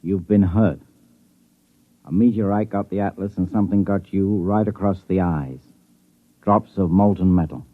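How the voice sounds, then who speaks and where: Calm spaceship medic, grave, low voice, vintage radio drama, narrow phone-like sound. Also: male voice, normal speed. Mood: grave, soothing. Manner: steady, plain-spoken bedside talk. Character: calm, grave ship's doctor tending a blinded crewman. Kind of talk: 1950s radio drama acting. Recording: muffled, phone-like old radio recording.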